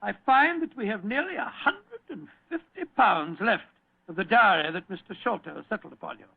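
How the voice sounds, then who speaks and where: A genial, fussy British gentleman storyteller in an old radio play. His voice is high and crackly, and he blusters and repeats himself. The sound is thin and phone-like. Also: animated, normal speed, vocal fry, breathy edge, exaggerated voice. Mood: cheerfully sheepish. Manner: blustering, hesitant, repeats words. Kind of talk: vintage radio drama acting.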